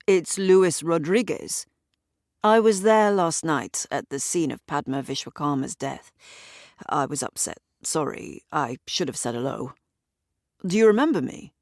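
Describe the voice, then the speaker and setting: A woman voicing an earnest, courteous man grieving a friend, measured, in an audio drama. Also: on the low side, animated, a little mellow, no echo, clean studio sound. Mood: upset, polite. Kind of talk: audio drama character dialogue.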